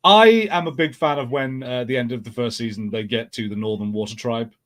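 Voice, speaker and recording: A man's voice: medium pitch, fairly quick, fairly bright tone. A man on a podcast panel, giving his opinions in casual fan chat.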